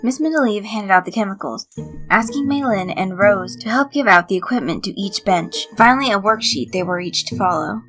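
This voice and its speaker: Female audiobook-style reader, bright voice, narrating fiction over background music. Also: mid-range voice, lively intonation, fairly quick. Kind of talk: scripted story narration.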